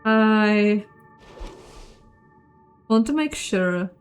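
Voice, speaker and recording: Slow-talking woman gaming over music. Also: mid-range voice, animated. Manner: chatty, self-deprecating, thinking aloud. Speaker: host of a game playthrough, voicing the dialogue. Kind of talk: casual let's play commentary with read-out dialogue. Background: game music.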